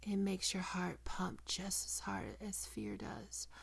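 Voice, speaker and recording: A woman playing a possessive, predatory female vampire in an ASMR roleplay, coaxing and cooing in a breathy voice that often drops into whispers. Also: lowish voice, very animated, heavily acted. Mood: seductive, coaxing. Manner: slow, cooing, condescending endearments.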